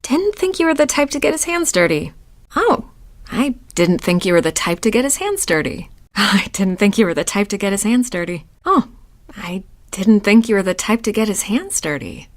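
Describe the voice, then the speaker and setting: A woman's voice: medium pitch, sing-song, normal speed, natural voice. A woman playing a wry, flirtatious society party guest in a video game, teasing and knowing in manner. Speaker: game voice actor. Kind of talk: conversational game dialogue acting.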